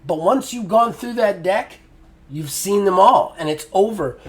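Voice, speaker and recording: A man's voice: high voice, animated, measured pace. A middle-aged man giving a frank spoken game review, often exasperated, with lots of fillers and restarts.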